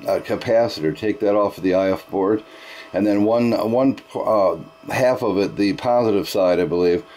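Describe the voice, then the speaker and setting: A man's voice: fairly low pitch, moderate pace, a little mellow, slight background noise. A man talking casually as an amateur radio operator, explaining things in a chatty way and using radio procedure words. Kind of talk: casual ham radio conversation.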